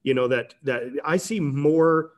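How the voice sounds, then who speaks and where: A man's voice: fairly high pitch, unhurried. Rambling middle-aged man. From Canada. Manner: rambling, many restarts and "you know". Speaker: tech company president, guest. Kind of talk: casual interview talk.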